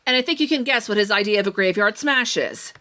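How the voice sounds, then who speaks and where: A woman's voice: medium pitch, quick pace, bright, forceful tone. A woman hosting a podcast in casual talk. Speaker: podcast host.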